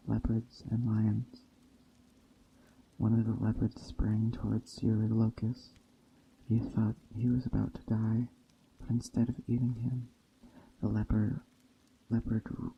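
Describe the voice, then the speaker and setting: Creaky, flat-toned man reading softly, muffled recording. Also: on the low side, slight background noise. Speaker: storyteller, narrator. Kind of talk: ASMR story reading, soft-spoken bedtime storytelling. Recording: dull muffled sound, faint background ambience. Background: soft nature ambience.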